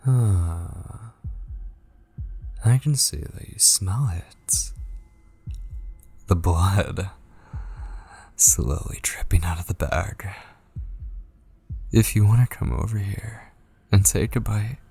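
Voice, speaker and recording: A man playing a possessive, obsessed kidnapper in an intimate ASMR roleplay, coaxing with menacing tenderness in a breathy voice that drifts toward whispers. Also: low-pitched, mellow, exaggerated voice. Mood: hushed, coaxing. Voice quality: breathy, husky. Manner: slow, coaxing, menacingly tender, lingering on phrases. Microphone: close studio microphone.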